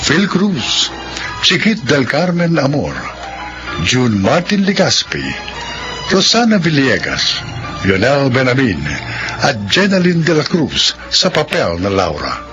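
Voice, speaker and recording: A male radio drama announcer delivers scripted narration in an animated, mid-pitched voice. Music plays strongly behind him on a slightly dull broadcast recording. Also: slow. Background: loud music.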